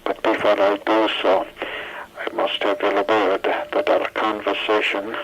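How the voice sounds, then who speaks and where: Man acting a monotone, secretive professor. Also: lowish voice, a little hiss or hum, rough recording. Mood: guarded, formal. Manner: measured, formal, guarded. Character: secretive, formal male physics professor on telephone. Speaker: actor in an audio drama. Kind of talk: 1980s audio drama acting.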